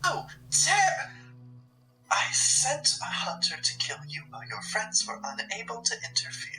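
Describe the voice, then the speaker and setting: A woman playing a cold, scheming supernatural curator, haughty, condescending and deliberate in a sardonic, theatrical way. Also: on the high side, wildly swooping pitch, pushed and bright, recorded from a distance, a little hiss or hum, full character voice, low-quality recording. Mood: menacing, scornful. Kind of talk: dramatic roleplay acting.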